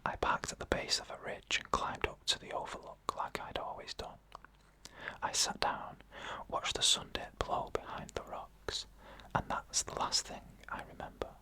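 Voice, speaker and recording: Whispering ASMR horror story narrator, crisp and bright. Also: normal speed. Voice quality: breathy unvoiced whisper. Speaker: narrator of scary stories.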